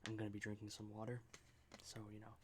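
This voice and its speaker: Low-voiced guy murmuring asmr style, pretty monotone, lots of ums, faint hiss behind. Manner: soft, halting delivery with many fillers, drifting into whispers. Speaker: ASMR creator. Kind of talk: soft-spoken ASMR political commentary.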